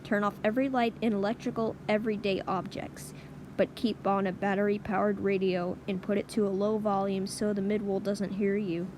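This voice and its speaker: A woman playing a grave emergency broadcast announcer, reading scripted alerts in a formal, deliberate way. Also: medium pitch, little pitch movement, close to an everyday voice. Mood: grim, subdued. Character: grave female emergency broadcast announcer warning of creature outbreak. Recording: clearly noisy background.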